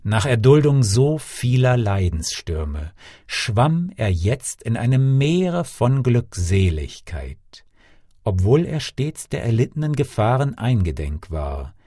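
A male storyteller narrates a scripted fairy tale with a lively, varied melody. The delivery is theatrical and measured, in a dry, close recording. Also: lowish voice, slightly rough, dry recording. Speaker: storyteller, audiobook reader.

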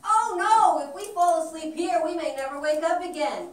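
Casting: woman as watchful little animal puppet, high voice, urging a companion on, recorded from afar in an echoey room. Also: normal speed. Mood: alarmed, urging. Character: alert, cautious animal traveller puppet warning its companion. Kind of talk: children's puppet show acting. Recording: roomy, distant camera, faint noise.

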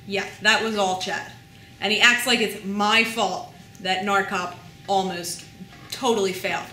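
Actress as an exasperated, bright-voiced woman showrunner. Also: mid-range voice, fairly even intonation, a little slow, echoey room, poor audio. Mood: exasperated, resentful. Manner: blunt, complaining. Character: exasperated, blunt female TV showrunner. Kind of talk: mockumentary interview comedy acting.

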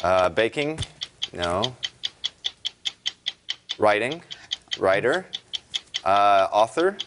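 Slow-paced, lively-pitched male game contestant. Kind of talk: halting guesses and casual chat. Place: TV studio. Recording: noisy TV studio floor.

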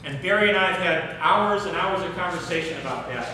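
A middle-aged man giving a workshop talk on public speaking, animated and asking the audience questions in a hotel conference room. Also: high-pitched, brisk, a little hiss or hum. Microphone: far camera, no mic seen. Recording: echoey room recorded from far off.

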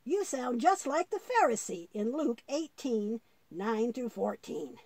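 A woman voicing a kindly old grandfather puppet, explaining things patiently and preachily in measured children's puppet-show dialogue. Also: a little high, very animated, a little mellow, no room sound, heavily acted. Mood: patient teaching. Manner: measured, explanatory, quotes scripture.

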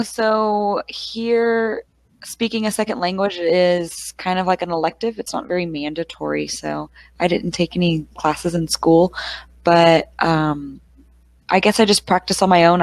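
A woman's voice: fairly low pitch, no echo. A young woman from Texas with a Texas accent, an English tutor chatting casually on a headset over a video call, friendly and full of "like" and "uh". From Texas, United States. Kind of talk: casual conversation. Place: small room at home. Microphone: headset mic on a video call.

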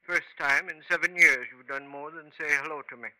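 A man's voice: fairly high pitch, brisk, bright, forceful tone, low-quality recording. An actor playing a plain-spoken, wary male college janitor, laconic and matter-of-fact, in 1950s radio style. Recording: 1950s radio drama recording.

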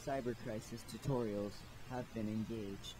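A man voicing a cyborg system announcer in a formal, flat, scripted way for a demo. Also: on the low side, normal speed, natural voice, low-quality recording. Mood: robotic, formal.

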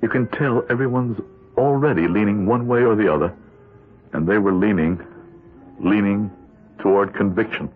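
Man playing troubled juror narrator, old muffled radio, lowish voice, broody, confiding. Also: normal speed, pauses often, natural voice. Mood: brooding. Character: guilt-torn male juror narrating his secret dilemma. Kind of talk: 1970s radio drama narration. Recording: muffled lo-fi radio, faint music.

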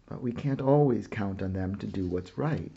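A man's voice: on the low side, moderate pace, creaky, warm tone, muffled recording, slight background noise. A man voicing an earnest, frustrated father in a read-aloud picture book, speaking firmly.

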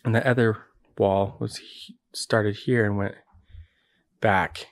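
A man's voice: on the low side, steady tone, slow pace. An American man giving a casual, matter-of-fact walkthrough of a building job, explaining as he goes. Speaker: builder presenting a project. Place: indoors, large unfinished basement.

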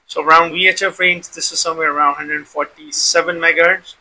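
A man with a very bright, sharp, slightly high voice walks through a software demonstration. He explains informally, step by step, circling back over his phrases. The recording has faint background noise. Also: flat delivery, a little fast, rough recording. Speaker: tutorial host.

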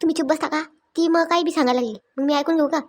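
A hot-tempered cartoon village wife, played by a woman, with a high voice and very quick delivery. Her rural Maharashtrian accent carries indignant scolding and threats, kept on a fairly even tone. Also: lightly acted. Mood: threatening. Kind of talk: Marathi comedy cartoon dialogue.

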